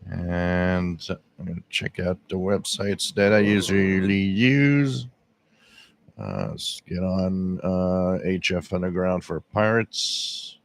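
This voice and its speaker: A man from Quebec, Canada, hosting a casual live radio-hobby stream with a scratchy, hoarse voice, rambling with lots of fillers, recorded on a directional mic at home. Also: low voice, lively intonation, takes it slowly. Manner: rambling, many fillers and restarts. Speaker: radio hobby livestream host. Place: home radio room with air conditioning running. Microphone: directional microphone.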